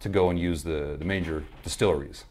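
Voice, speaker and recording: Fast-talking deep-voiced man, slightly hoarse. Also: fairly even intonation, a crowd in the background. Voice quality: hoarse smooth low voice. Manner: rambling storyteller, many restarts and fillers. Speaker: podcast guest. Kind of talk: casual interview chat.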